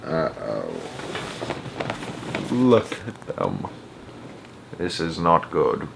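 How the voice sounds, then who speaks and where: Man acting eccentric gentleman, storybook character, courteous, formal, unflappable, sighing exasperation. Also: mid-range voice, moderate pace, heavy background noise, close to an everyday voice. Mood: weary dismay.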